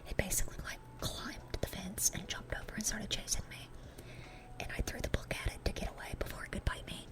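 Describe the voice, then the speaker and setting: A woman's voice: a little fast, lightly acted. A woman playing a dorky, sweet-natured young demon girl in an ASMR roleplay, whispering in a rambling, chatty, earnest way. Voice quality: breathy, unvoiced whisper.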